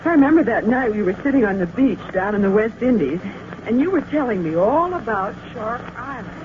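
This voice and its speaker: An actress playing a playful, eager young magician's assistant, gushing and wide-eyed, in vintage radio drama style. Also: female voice, a little high, expressive, brisk, lightly acted. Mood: eager, curious. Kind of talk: 1940s radio drama acting. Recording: tinny, noisy old radio recording.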